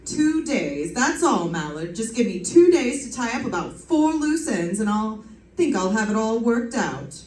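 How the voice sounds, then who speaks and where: A woman's voice: on the high side, big pitch swings, a little slow, a little mellow. A woman playing a wisecracking, breezy female private detective, wry and playful, in 1940s radio drama style. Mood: coaxing. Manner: wry, playful, theatrical delivery. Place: live stage performance in a room. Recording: roomy stage, distant mic, music behind.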